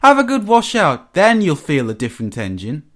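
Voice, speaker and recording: Storybook engine, male, jealous grumbler, huffy, eager, highly animated, voiced by a man. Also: normal speed. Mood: mocking.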